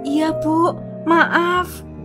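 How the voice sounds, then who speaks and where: A timid underpaid kitchen worker. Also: female voice, very high voice, level tone, very slow, music in the background, heavily acted. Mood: meek. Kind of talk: cartoon drama voice acting.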